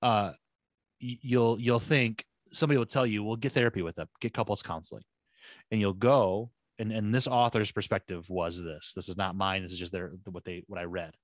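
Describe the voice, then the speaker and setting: A man's voice: on the low side, fairly even intonation, brisk, no room sound. A man co-hosting a podcast who rambles and thinks aloud with many restarts. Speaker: podcast co-host. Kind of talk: casual conversational chat.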